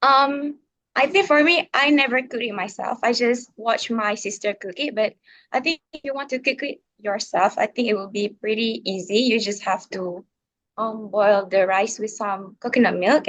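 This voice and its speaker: A young woman from northern Malaysia with a Malaysian accent, a guest on a casual podcast, answering in a relaxed way with frequent pauses and ums. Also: on the high side, low-quality recording. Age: young adult.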